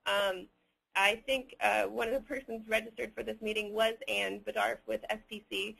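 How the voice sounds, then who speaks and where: A man answering audience questions as a webinar presenter, unscripted, hesitant and halting as he picks his words with care. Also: sits high, level tone, gravelly, bright, forceful tone, husky, low-quality recording.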